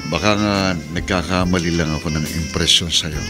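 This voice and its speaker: An actor playing a jilted bachelor drinking to forget in a Filipino radio drama, brooding and drawling, with a Filipino accent. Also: male voice, sits low, fairly even intonation, normal speed, music in the background, close to an everyday voice. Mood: wry, casual.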